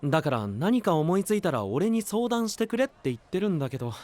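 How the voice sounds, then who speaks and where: A man's voice: sits high, sing-song, darkish tone. A man voicing a young male knight and diligent royal aide, boyish and exasperated, in an anime-style voice drama. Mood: fondly resigned. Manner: brisk, boyish, exasperated retorts.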